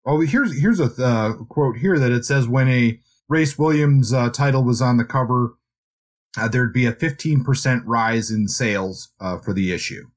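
Mid-pitched man, conversational podcast host. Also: moderate pace. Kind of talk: casual book discussion.

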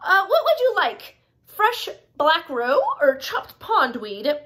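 A woman's voice: sits high, very animated, slow pace, a bit bright, pitched above the performer's own voice, full character voice. A woman voicing a cheerful, giggly female frog who runs a restaurant in a storybook, chirpy and questioning.

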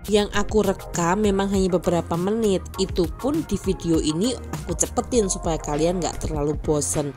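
A woman's voice: lowish voice, moderate pace, few pauses, mellow, soft background music. A woman with an Indonesian accent giving an upbeat, scripted voice-over for a food vlog, reading out prices and menu items in lists. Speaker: food vlogger.

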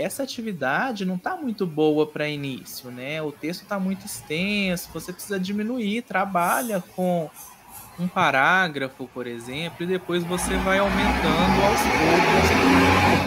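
A man teaching an online mini-course in a measured, explanatory way, often pausing on hesitation fillers. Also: fairly high pitch, fairly bright tone, slight background noise. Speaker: course instructor.

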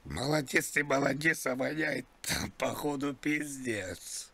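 A man with a husky, bright voice makes prank calls in a casual chat. He sounds mock-polite while slipping into crude slang. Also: mid-range voice, animated, a little slow. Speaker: prank caller, streamer.

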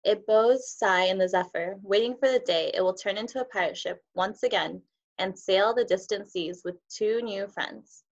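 A woman's voice: mid-range voice, normal speed. A young woman teacher reading a children's picture book aloud on a video call. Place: indoors, on a group video call. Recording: dull, muffled video call audio.

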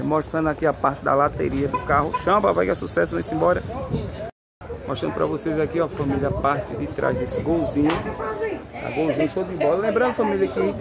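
A man's voice: on the high side, rough recording. Hyped man at a car fair. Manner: upbeat, repeats catchphrases and "família". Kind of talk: hyped sales walkaround. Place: outdoor car lot. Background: crowd chatter at a car fair.

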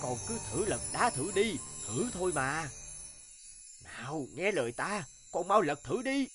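Greedy cartoon merchant, high and wildly swooping voice, scheming, wheedling, crowing, over music. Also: male voice, big pitch swings, unhurried, a bit bright. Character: greedy, scheming middle-aged male merchant. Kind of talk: animated fairy-tale voice acting.

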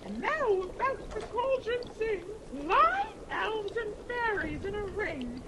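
A woman doing a shrill, grand witch queen. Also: very noisy, full character voice. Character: commanding queen of witches praising her sisters.

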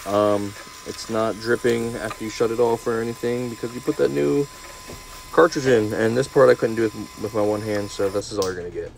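A man's voice: on the low side, fairly even intonation, fairly quick, creaky, a little mellow. A young man working as a plumber, chatting casually and teasing his viewers while filming himself on a job inside a house. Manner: playful, teasing, calls viewers "baby". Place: basement and tiled bathroom in a house, indoors. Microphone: phone held or worn, first-person view. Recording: noisy job-site recording.

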